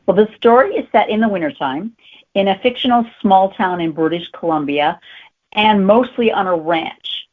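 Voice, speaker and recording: A woman's voice: medium pitch, moderate pace. A woman talking casually as an author being interviewed. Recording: tinny phone-like line.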